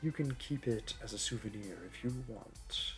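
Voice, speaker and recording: A man playing a smug, flirty supervillain in an audio roleplay, talking in a teasing, theatrical, unhurried way. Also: lowish voice, lightly acted. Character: smug, flirty male supervillain hosting a hero in his lair. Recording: music behind. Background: background music.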